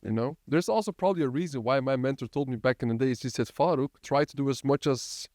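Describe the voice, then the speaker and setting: A man's voice: medium pitch, fast talker, darkish tone, no room sound, studio quality. A young man hosting a podcast, brash and loose, tossing in slang as he talks. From Netherlands. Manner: brash, loose, says "bro" a lot. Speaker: podcast host. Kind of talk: casual podcast chat.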